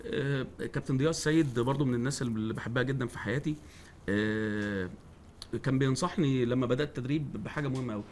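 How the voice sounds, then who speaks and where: Rapid-fire Egyptian man in his forties. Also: mid-range voice, a little mellow, slight background noise. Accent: Egyptian Arabic. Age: 40s. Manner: agreeable, often repeats words as he restarts. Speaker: guest, football coach. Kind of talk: casual podcast chat.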